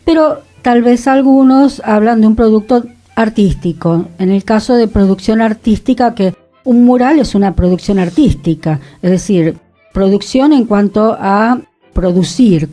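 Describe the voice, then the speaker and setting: Woman with a mellow voice. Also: medium pitch. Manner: thoughtful, conversational, with occasional laughs. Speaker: radio co-host. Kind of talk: cultural radio discussion. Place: radio studio.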